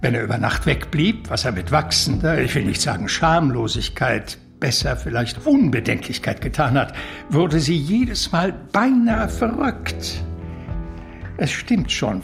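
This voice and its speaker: A man's voice: on the high side, very animated, measured pace, gravelly, soft background music, exaggerated voice. An actor playing an urbane, talkative and evasive male confidant in a radio drama, with mannered, eloquent phrasing. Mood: confiding. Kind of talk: German radio drama acting.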